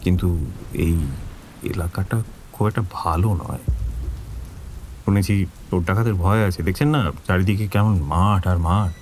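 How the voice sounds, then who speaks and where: Wary stranded driver, rainy background. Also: male voice, deep voice, measured pace, pauses often, a little mellow, a little hiss or hum. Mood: wary, practical. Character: practical, wary male driver stranded at night. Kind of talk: audio drama dialogue. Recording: rain and thunder ambience behind voice. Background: rain, thunderstorm.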